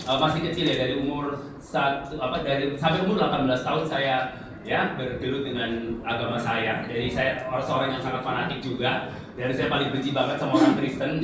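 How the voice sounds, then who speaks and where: A man's voice: mid-range voice, background noise. A man from Central Java preaching a personal, conversational sermon, often putting rhetorical questions to his listeners. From Central Java, Indonesia. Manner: conversational, asks the congregation rhetorical questions and tag questions. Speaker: evangelist, guest preacher. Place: large echoey room before a congregation. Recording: echoey hall, distant mic, audience present.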